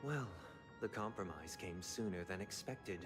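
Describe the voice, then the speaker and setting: An actor playing a poised, solemn young man with messianic resolve in a dramatic video game, speaking in a measured, formal way. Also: on the low side, expressive. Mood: calm defiance. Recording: loud music underneath. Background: music.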